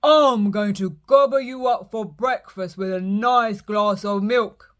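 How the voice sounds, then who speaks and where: Woman doing a storybook troll, greedy, roaring, slow and loud, drawn-out threats. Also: medium pitch. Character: hungry blustering male troll under a bridge.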